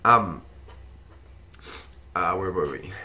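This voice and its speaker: A man with a croaky, run-down voice, rambling casually through fight picks with plenty of swearing. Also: deep voice, extremely slow, sharp tone. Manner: rambling, swears freely, thinks aloud with ums. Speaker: fight-pick vlogger. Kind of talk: casual fight predictions monologue.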